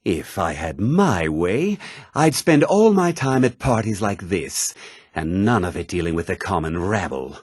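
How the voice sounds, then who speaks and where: Snooty fantasy game noble, deepish husky voice, condescending, pitch swings around a lot. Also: male voice, very animated, measured pace, a little mellow, dry recording, studio quality, full character voice. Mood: smug. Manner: pompous, condescending, theatrical. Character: haughty, self-important male noble in a fantasy realm. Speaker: video game voice actor. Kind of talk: scripted fantasy game dialogue lines.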